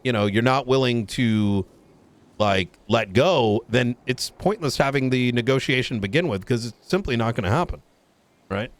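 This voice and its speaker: A man's voice: mid-range voice, moderate pace, natural voice. Blunt, swearing police chief, played by a man. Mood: firm, insistent. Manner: argumentative, blunt, casual swearing. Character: blunt, foul-mouthed male police chief negotiating a deal. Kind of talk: improvised roleplay phone argument.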